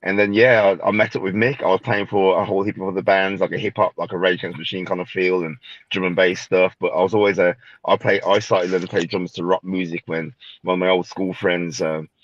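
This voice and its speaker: Man, low voice, rambling, lots of fillers, dry dull call audio. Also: level tone, fast. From Birmingham, England. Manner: rambling, many "you know" fillers. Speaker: band drummer, guest. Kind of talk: casual podcast chat.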